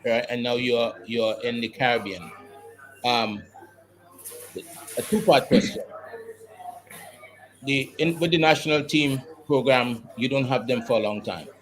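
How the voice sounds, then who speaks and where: A man's voice: mid-range voice, measured pace, long pauses, low-quality recording. A man interviewing a guest, asking long multi-part questions with many restarts. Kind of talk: sports interview.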